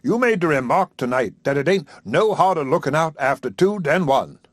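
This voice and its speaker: Guy putting on a smug preacher, drawly and pompous, pitched a bit up, dry close sound. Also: animated, moderate pace, no echo. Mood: courting. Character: self-satisfied male preacher courting a widow.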